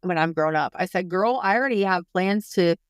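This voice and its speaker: A woman in her thirties hosts a podcast in a casual, animated way, with slangy asides. Her voice is clear and smooth at a middle pitch. It is recorded close and dry. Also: a little fast, dry recording. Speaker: podcast host.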